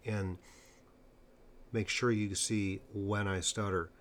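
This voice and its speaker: A man with a lowish voice interviews a guest. He talks emphatically and leans on key points by slowing down. His tone is conversational. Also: flat delivery. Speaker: interviewer and stuttering coach.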